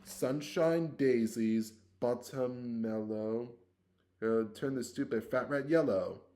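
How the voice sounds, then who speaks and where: A man's voice: on the low side, little pitch movement, a little slow, a little mellow. A man voicing a blunt, grumbling schoolboy wizard in a read-aloud story. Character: cheeky, scruffy schoolboy wizard.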